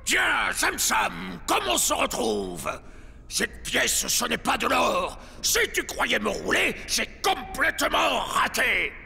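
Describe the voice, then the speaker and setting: A man voicing a blustering, bossy, tantrum-prone space pirate captain in a children's cartoon, with a gravelly, theatrical bark. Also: very high-pitched, big pitch swings, slow pace, bright, forceful tone, raised voice, long reverb tail, heavily acted. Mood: irritated. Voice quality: gravelly, creaky. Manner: barking, theatrical, exaggerated.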